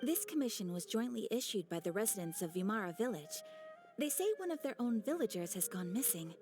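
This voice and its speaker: A woman's voice: medium pitch, animated, a little mellow, lightly acted. A woman voicing a polite, formal receptionist at an adventurers' guild in a video game. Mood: businesslike. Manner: polite, formal, measured, professional. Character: poised, courteous female adventurers' guild receptionist. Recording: faint music behind the voice.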